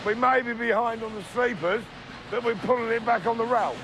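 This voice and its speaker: A man's voice: very high-pitched, brisk. A man, talking plainly and colloquially as a construction foreman. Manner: colloquial, non-standard grammar. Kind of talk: blunt unscripted work talk. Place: underground tunnel worksite. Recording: clear vehicle and site noise.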